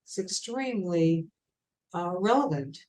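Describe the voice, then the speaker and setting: A woman interviewer responding warmly and enthusiastically to her guest's story. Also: lowish voice, expressive, a little slow, roomy sound. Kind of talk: interview conversation.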